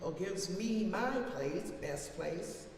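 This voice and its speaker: A woman giving a dramatic, emphatic recitation of an old speech as a defiant, plain-spoken older orator, with long deliberate pauses, recorded from far off in a reverberant hall. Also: on the low side, lively intonation, a little mellow. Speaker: reader performing a historical speech. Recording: very echoey hall from far off, faint noise.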